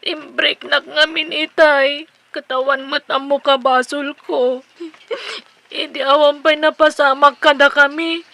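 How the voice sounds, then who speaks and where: A woman's voice: high-pitched, level tone, a little slow, vocal fry, sharp tone, heavily acted. An actress playing a coy, lovesick and respectful young girlfriend in an Ilocano radio comedy drama, with an Ilocano accent. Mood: tearful pleading.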